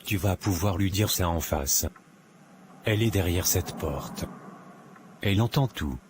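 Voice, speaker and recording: A man playing a disillusioned lover in an animated drama. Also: low voice, unhurried, background noise. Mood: weary, disappointed. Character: disillusioned man in a bitter love quarrel. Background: music.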